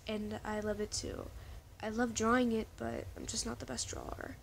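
A woman's voice: fairly high pitch, flat delivery, normal speed, creaky, a little hiss or hum. A woman casually chatting to her viewers in a rambling vlog, often restarting her sentences. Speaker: vlogger.

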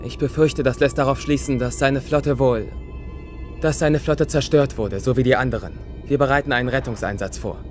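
Confident young commander acted by a man, medium pitch. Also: normal speed, faint music behind, lightly acted. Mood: concerned, formal;. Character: confident young knight commander, brisk and headstrong.

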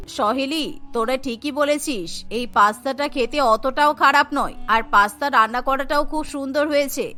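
A stubborn middle-aged mother-in-law from a cartoon, acted by a woman. She speaks emphatically and scoldingly in a bright, forceful voice. Light music plays behind her. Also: high voice, voice pushed down. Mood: grudgingly pleased. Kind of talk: Bengali children's cartoon voice acting.

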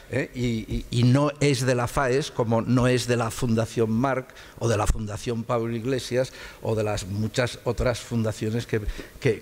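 A man's voice: mid-range voice, creaky, a little mellow. An older man from Catalonia giving a conversational public lecture as a guest speaker, often repeating words as he searches for his phrasing. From Catalonia, Spain.

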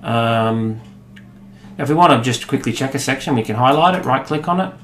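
An Australian man in his thirties walks through a software demo at his desk, relaxed and explanatory, pausing on "uh" and "um". His voice sits mid-range and bounces around an echoey office. A faint hum of room ambience hangs behind him. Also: medium pitch. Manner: relaxed, explanatory, with frequent fillers. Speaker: product demonstrator.

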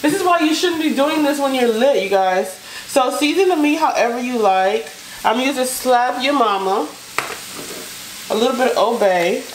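High-voiced American woman in an echoey kitchen. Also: lively intonation, moderate pace. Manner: informal, unscripted, thinking aloud. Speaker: home cook vlogger. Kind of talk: casual chat while cooking. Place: home kitchen, indoors. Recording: echoey kitchen, noisy stove sizzle. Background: sizzling pan, clicks, stirring.

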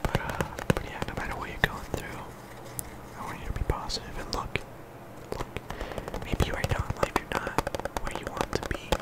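A soft-spoken ASMR creator, sick at the moment, whispering a casual, earnest ramble full of slang and fillers. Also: normal speed, rough recording. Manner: earnest encouragement.

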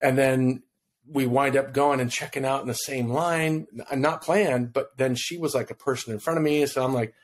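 A man from Hawaii in his fifties tells a personal story as a podcast guest, relaxed and rambling, acting out the lines of the people in it. He speaks into a podcast microphone from home. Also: mid-range voice, moderate pace. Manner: relaxed, rambling storyteller who acts out quotes. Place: home room on video call. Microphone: podcast mic in front of him.

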